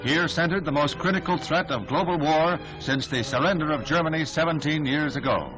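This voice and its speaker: A man's voice: fairly high pitch, brisk. An American man reading scripted 1960s newsreel narration in a formal, dramatic, declamatory manner. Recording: dull vintage 1960s newsreel soundtrack. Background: loud orchestral newsreel music.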